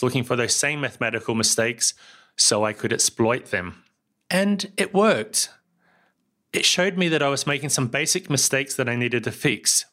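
Bright-voiced New Zealand man narrating. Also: mid-range voice. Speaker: podcast host. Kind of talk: scripted solo podcast narration.

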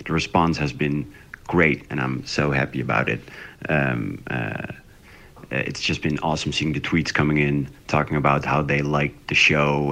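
A man from the Netherlands recording a casual spoken diary, pleased and hesitant, with frequent pauses. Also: very deep voice, fairly bright tone. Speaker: audio drama creator.

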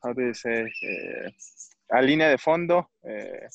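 A footballer from Mexico, speaking English with a Mexican Spanish accent, hesitant and searching for words. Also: male voice, mid-range voice, animated, unhurried, creaky, dry recording, lo-fi sound. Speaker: football player. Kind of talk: interview.

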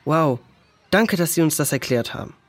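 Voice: medium pitch, animated, moderate pace, natural voice. A performer playing a curious, thoughtful youngster in a children's audio drama, speaking colloquially. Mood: wondering. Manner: colloquial, pondering.

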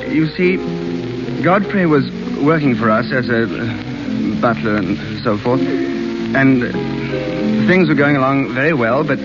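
An actor plays a jovial rich polo player in a mid-pitched voice, breezy and chummy. The sound is a dull, scratchy old radio broadcast with music behind. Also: male voice, expressive, a little slow, natural voice. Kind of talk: 1930s radio drama acting.

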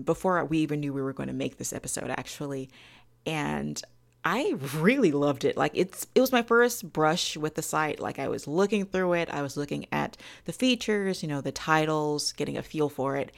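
A woman's voice: low voice, vocal fry. A woman hosting a podcast review in an enthusiastic, emphatic and playful way. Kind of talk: semi-scripted podcast review.

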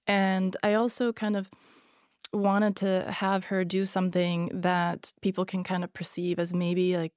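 A woman's voice: fairly low pitch, darkish tone, no room sound, clean studio sound. A woman author born in Hungary and raised in Sweden, a native Hungarian speaker, answering interview questions hesitantly with many fillers and restarts. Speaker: author guest. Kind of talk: podcast interview.

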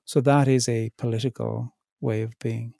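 A middle-aged man speaking reflectively as an interview guest, thoughtful and searching, pausing and restarting as he works through his ideas. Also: medium pitch, flat delivery, vocal fry, warm tone, no echo, studio quality. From Ireland. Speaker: theologian in residence, interview guest.

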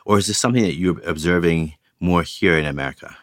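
A man working as an interviewer, asking measured, carefully worded questions. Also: low-pitched, no room sound, studio quality.

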